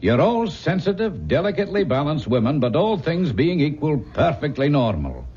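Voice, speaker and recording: An actor playing a concerned family doctor, blunt and authoritative, in an old-time radio drama. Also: male voice, fairly high pitch, sing-song, moderate pace, mellow. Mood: brisk, cautioning. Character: brisk, concerned male country family doctor. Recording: muffled, faintly noisy old radio recording.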